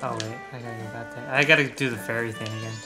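A man's voice: medium pitch, steady tone, rapid-fire. A young man playing a video game and chatting casually about it as he goes. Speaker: let's play gamer. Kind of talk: casual gaming chat. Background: faint video game music.

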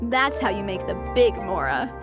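A woman's voice: medium pitch, very animated, normal speed, over music, close to an everyday voice. A woman playing a cheerful, money-loving young traveling merchant in a video game, with a chipper, persuasive sales manner. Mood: friendly, persuasive.

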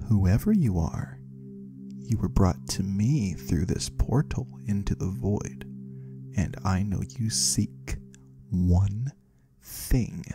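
A man playing a mysterious, courteous disembodied trader of the void in a soft-spoken ASMR roleplay, husky and breathy, slow and gently curious. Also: lowish voice, expressive, over music. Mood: hushed, mysterious. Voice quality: husky, breathy, sometimes whispering. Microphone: close, dry microphone.

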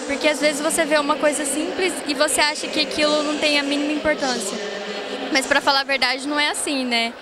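A woman in her twenties with a Brazilian accent, explaining informally as an event organiser into a handheld microphone. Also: sits high. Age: 20s. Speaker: fair organiser interviewee. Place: large indoor fair. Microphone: interviewer's handheld microphone. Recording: loud fair hall noise.

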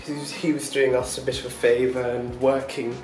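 A man's voice: medium pitch, level tone, fairly quick, darkish tone, reverberant, distant mic, faint music behind, rough recording. A man talking casually to his vlog audience, rambling and chatty with lots of ums. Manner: rambling, chatty, with many fillers and short laughs. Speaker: vlogger. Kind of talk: casual daily vlog chat.

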